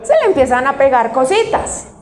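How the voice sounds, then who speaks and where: A woman pastor from Colombia with a Cali accent, preaching a sermon. She puts questions to the congregation and answers them herself, and she slows down to stress single words. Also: high-pitched, big pitch swings, reverberant. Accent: Cali Colombian Spanish.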